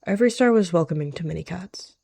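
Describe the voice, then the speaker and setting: A woman's voice: fairly low pitch, lively intonation, fast, creaky, dark tone, husky, dry recording, heavily acted. Woman as a practical, friendly healer cat.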